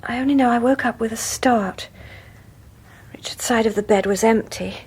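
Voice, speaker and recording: Breathy, anxious young mother, high-voiced. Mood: haunted, uneasy. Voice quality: breathy, husky. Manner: hesitant, hushed, dramatic pauses. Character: anxious young mother, haunted and unsettled by her husband. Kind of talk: radio horror drama acting.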